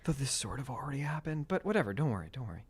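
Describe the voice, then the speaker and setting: A man's voice: mid-range voice, big pitch swings, very fast, husky, dry recording. A male podcast host giving wry, joking commentary, laughing often. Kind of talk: casual comedic commentary.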